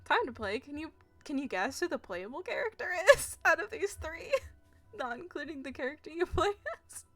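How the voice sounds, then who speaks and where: A woman's voice: high voice, big pitch swings, moderate pace, a bit bright, no room sound. A woman streaming a game, giggling and rambling as she talks back to the characters. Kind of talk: live gameplay commentary. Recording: game music behind.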